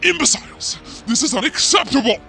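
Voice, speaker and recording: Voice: medium pitch, expressive, normal speed, fairly bright tone, soft background music, natural voice. An actor playing a corrupt, scornful police commander who barks orders in a sci-fi audio drama. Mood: furious, contemptuous. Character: corrupt, imperious police commander, furious and contemptuous.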